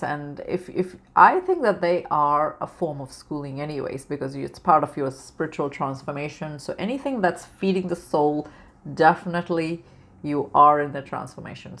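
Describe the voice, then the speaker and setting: A woman with a deep voice and a Canadian accent carrying a slight British-Australian undertone, talking casually about spiritual topics with many fillers and restarts. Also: vocal fry. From born outside Canada, raised in Canada. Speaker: spiritual vlogger. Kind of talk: casual spiritual talk to camera.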